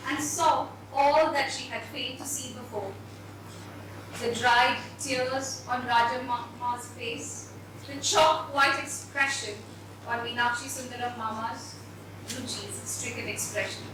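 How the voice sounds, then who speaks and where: A woman giving a dramatised reading of a story on stage. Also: on the high side. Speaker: story reader. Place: echoey event hall with audience. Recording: very echoey hall, distant, noisy.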